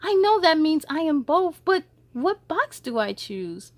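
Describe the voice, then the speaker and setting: A woman's voice: high voice, very animated, a little slow, no room sound, pitched above the performer's own voice. A woman voicing a sensitive, eager schoolgirl from a picture book, speaking earnestly and emphatically. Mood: worried, upset.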